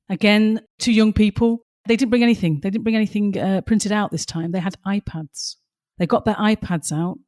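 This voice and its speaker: A woman's voice: mid-range voice, darkish tone. Passionate woman, slightly strained voice. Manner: passionate, emphatic storytelling. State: slightly strained, losing her voice. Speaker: podcast co-host. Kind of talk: casual podcast chat with stories.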